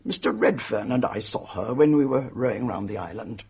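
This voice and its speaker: Husky, blunt, hearty spinster. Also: male voice, mid-range voice, expressive, moderate pace, darkish tone, heavily acted. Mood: blunt, emphatic. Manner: forthright, emphatic. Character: blunt, hearty spinster hotel guest, a woman. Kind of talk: radio mystery drama acting.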